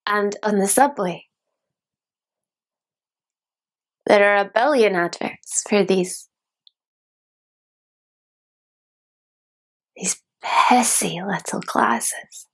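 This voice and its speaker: A woman playing a disillusioned young dreamer in a solo dramatic monologue, reflective and deliberate, in short broken phrases. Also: medium pitch, creaky. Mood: bitter.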